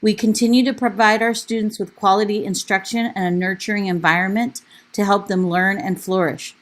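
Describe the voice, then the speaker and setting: A woman teacher and union officer delivering a prepared public comment to a school board in an earnest, steady manner over a remote call. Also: medium pitch, fairly quick. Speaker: teacher and union vice president. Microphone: remote call audio.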